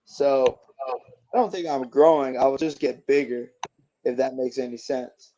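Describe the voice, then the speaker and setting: A man's voice: mid-range voice, unhurried, dark tone, lo-fi sound, a little hiss or hum. A man in his twenties, a boxer guest, chatting casually in an easygoing, rambling way with lots of fillers. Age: 20s. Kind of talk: casual interview chat.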